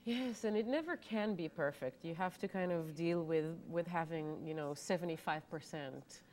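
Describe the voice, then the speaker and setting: A woman's voice: fairly low pitch, normal speed, slight background noise. A woman in her thirties whose first language is Hebrew, an opera singer talking candidly and with animation as a guest in a TV studio interview. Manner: candid, animated, laughs easily.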